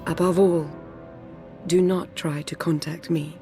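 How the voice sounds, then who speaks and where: An actress playing a composed Imperial agent in a video game, speaking formally, seriously and with resolve. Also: female voice, lowish voice, steady tone, unhurried, mellow, faint music behind. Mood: resolute. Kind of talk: video game cutscene acting.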